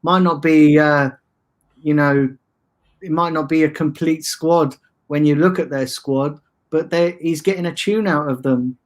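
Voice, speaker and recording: A man with a soft, soothing voice and a slightly higher pitch talks football in a laid-back way. He hesitates often, restarting phrases and filling gaps with "uh" and "um". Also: fairly even intonation, a little slow. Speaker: guest, fan channel streamer. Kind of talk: casual football chat.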